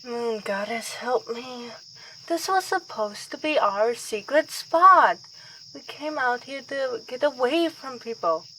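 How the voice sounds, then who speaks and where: Woman playing an expressive, confiding vampire princess. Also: a little high, takes it slowly, slight background noise, full character voice, rough recording. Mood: indignant. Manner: formal, expressive, aristocratic wording. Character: young noble vampire princess, affectionate and confiding. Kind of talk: ASMR roleplay acting.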